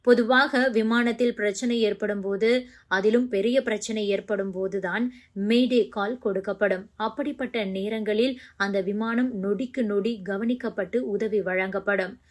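A woman's voice: medium pitch, fairly even intonation, a little slow. A woman reading scripted news narration as a news narrator.

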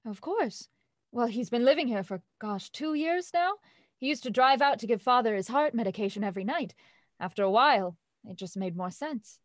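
An actress playing a genteel young heiress in grief, speaking in a hesitant, polite way in an audio drama. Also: female voice, a little high, animated, no room sound, lightly acted. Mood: wistful, composed. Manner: halting, soft-spoken, polite.

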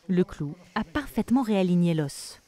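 A woman reading a scripted documentary voice-over in a measured, even way. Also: on the low side. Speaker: documentary narrator. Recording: voice-over with music behind. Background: music.

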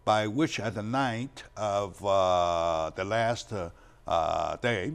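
An older man hosts a studio talk show, animated and addressing the audience with many fillers. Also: on the low side, slow, gravelly, clean tone. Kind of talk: studio interview. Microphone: lapel mic.

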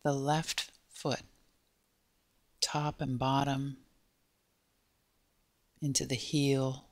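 A woman guiding a body scan meditation in a gentle, unhurried way, with pauses between short phrases. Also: extremely low pitch, level tone, no room sound. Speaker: meditation guide.

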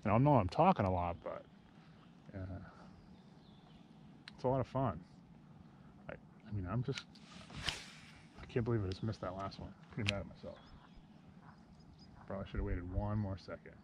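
A man's voice: deep voice, moderate pace, pauses often, lo-fi sound. A middle-aged man vlogging casually while fishing from a kayak outdoors, rambling to the camera. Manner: relaxed, rambling, talks to camera while casting. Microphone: action camera behind his head. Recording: outdoor camera audio with steady noise.

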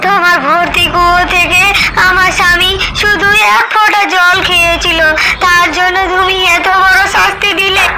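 A little cartoon bird full of grief and reproach, played by a woman. The voice is very high and dramatic, with loud noise behind it. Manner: tearful, accusing, dramatic. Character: grieving female tailorbird cursing her enemy. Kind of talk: animated fairy tale voice acting.

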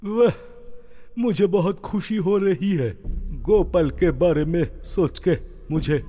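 An actor playing an excitable, fussy court man in a folk-tale cartoon. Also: high voice, takes it slowly. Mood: eager. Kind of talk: Hindi cartoon voice acting. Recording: long reverb, music behind.

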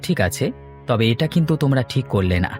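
Man as a heartbroken young man, reproachful, mid-pitched, melodramatic cartoon, music behind. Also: expressive, fairly quick, close to an everyday voice. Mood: wounded, resigned. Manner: reproachful, wounded.

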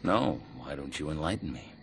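An actor playing a gruff, blunt, fiercely protective hero in a video game. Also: male voice, rumbling low, animated, moderate pace, exaggerated voice. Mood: sardonic. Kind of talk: video game cutscene acting. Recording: very loud music underneath.

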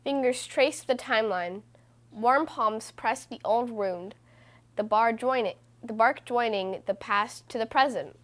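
Higher-voiced woman reading a picture book aloud. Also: a little slow. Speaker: children's book reader, host. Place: small room indoors.

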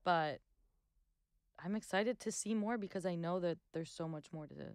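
A woman in her twenties, a podcast guest, speaking thoughtfully in casual family chat on a boom mic in a cozy living-room studio. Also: normal speed, a little mellow, clean tone, no room sound. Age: 20s. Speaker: guest, host's daughter. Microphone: boom-arm studio mic.

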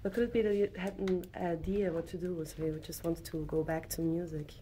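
A woman's voice: on the low side, fairly even intonation, fairly quick, warm tone, a little hiss or hum. A woman with a Swiss German accent interviewing a band, giggly and asking short questions in simple English, in a hotel conference room. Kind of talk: casual interview.

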